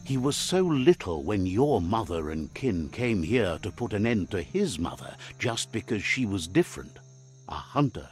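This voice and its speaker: Man, game narrator, low voice, measured, solemn, scripted storytelling. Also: big pitch swings, soft background music. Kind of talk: scripted narration retelling a creature's words.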